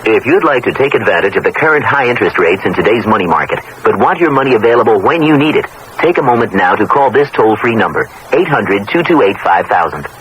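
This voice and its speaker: Animated American male ad announcer. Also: lowish voice, quick pace, low-quality recording. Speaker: commercial announcer. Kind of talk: scripted radio advertisement. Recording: old radio broadcast with faint hiss.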